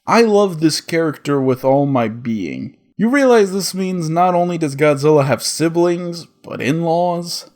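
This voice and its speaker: A man's voice: on the high side, expressive, measured pace, few pauses, a little mellow. A man narrating a scripted comedic cartoon review as the host, with a sarcastic, profane delivery.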